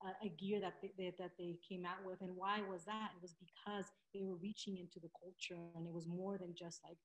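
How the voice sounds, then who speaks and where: A woman's voice: on the low side, little pitch movement, brisk. A woman in her thirties presenting on a video call from a room at home, chatty, with many fillers and restarts. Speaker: presenter in a webinar. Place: home room with window blinds. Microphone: laptop webcam on a video call. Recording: roomy echo.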